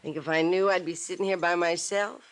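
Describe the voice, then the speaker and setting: A woman's voice: fairly low pitch, steady tone, a little fast, close to an everyday voice. An actress in a 1950s radio drama playing a bitter, jealous, tipsy gangster's girlfriend with a brassy, combative manner. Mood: jealous fury.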